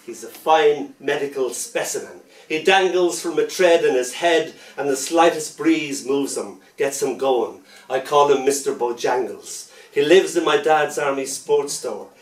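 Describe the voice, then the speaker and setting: A man reading his poetry aloud, with a rhythmic, playful delivery that softens into gentle lullaby lines. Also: a little high, measured pace, hardly any pauses. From Ireland. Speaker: poet. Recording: roomy sound from a distant mic.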